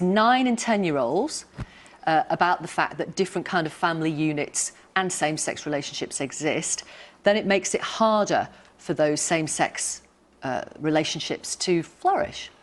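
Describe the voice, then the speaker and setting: A woman's voice: low voice, expressive, moderate pace. A British woman hosting a studio debate, cutting in and probing with direct questions. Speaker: talk show host.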